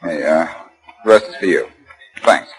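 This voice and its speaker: A man's voice: low voice, normal speed, natural voice, poor audio. A man playing a suave, unflappable detective with glib, breezy banter in a 1940s radio crime drama. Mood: casual, amused. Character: suave, wisecracking, unflappable male amateur detective. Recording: old 1940s radio recording.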